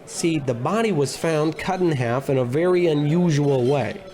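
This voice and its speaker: Man playing high-voiced, blustery bumbling private eye. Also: moderate pace, darkish tone, natural voice. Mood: blustery, oblivious. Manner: oblivious, self-important, grumbling. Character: bumbling, blustery, gluttonous male private investigator. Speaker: private detective in a radio play. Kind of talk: scripted comedy in old radio detective style.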